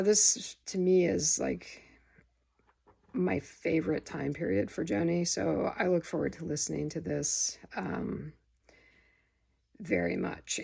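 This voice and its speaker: Sleepy gravelly lady rambling about records at home, husky and lowish, lots of ums. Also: darkish tone. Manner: rambling, many fillers and restarts. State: very tired. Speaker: vinyl record vlogger. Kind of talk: casual rambling haul video. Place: home room with window open. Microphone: phone camera.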